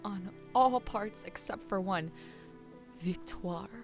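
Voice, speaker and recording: Guarded, bitter student girl for audio drama, played by a woman: dry, unhurried, a little low, over music. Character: guarded, proud young female student, quietly bitter. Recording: music under the voice.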